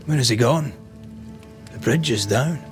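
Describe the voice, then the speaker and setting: Man playing a steady, probing ghost-hunter, mid-pitched. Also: faint music behind, lightly acted. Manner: measured questioning, old-fashioned phrasing. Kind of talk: dramatic video game cutscene acting.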